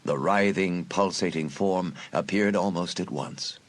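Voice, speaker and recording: A man's voice: sits low, a little slow. A man reading scripted, dramatic story narration for an audiobook.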